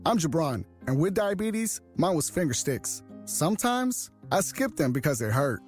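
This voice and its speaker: A man's voice: a little high, big pitch swings, normal speed, faint music behind. A man reading a scripted, upbeat radio ad testimonial. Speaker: ad testimonial speaker.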